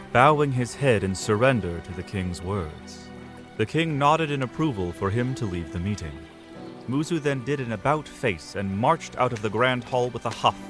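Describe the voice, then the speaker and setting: A man narrating a scripted fantasy story as the narrator of an audio drama. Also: medium pitch.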